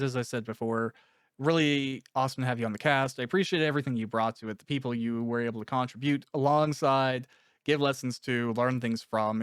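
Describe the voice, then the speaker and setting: A man's voice: medium pitch, clear, resonant tone, dry recording, clean studio sound. A man speaking casually and candidly as an interviewer and author, in an introspective, conversational way. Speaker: author interviewing a cast member.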